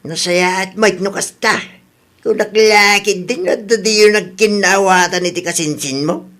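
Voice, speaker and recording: A woman's voice: mid-range voice, a little slow, a bit bright, lightly acted. Older villager, conversational, Filipino radio drama, played by a woman.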